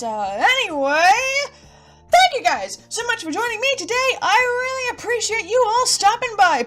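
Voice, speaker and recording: A woman hosting an online video, chatting to viewers in an animated, playful and emphatic way. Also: very high-pitched. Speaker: video host. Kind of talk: bubbly YouTube chat to viewers. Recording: music behind the voice.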